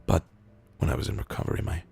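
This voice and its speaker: A man reading written internet posts aloud in a deadpan, comedic way, with a gravelly, husky voice and drawn-out pauses. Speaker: reader of internet copypasta posts.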